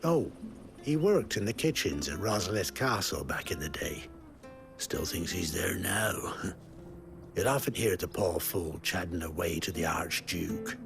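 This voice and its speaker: A man's voice: low-pitched, lively intonation, measured pace, vocal fry, music in the background, heavily acted. A man playing a chatty, wry fantasy villager in a video game, speaking colloquially with a British accent. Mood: wry, amused. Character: folksy, chatty middle-aged village man in fantasy realm. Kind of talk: video game cutscene acting.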